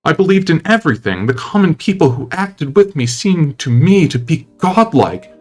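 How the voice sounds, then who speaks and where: A rapturous young woman of the stage as a man acts her out. Her voice is raised high, lingering and tender with devotion, over audiobook music. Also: big pitch swings, moderate pace, a little mellow. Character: rapturous, romantic young actress, breathlessly devoted. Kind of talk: dramatic audiobook character reading. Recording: music under the voice.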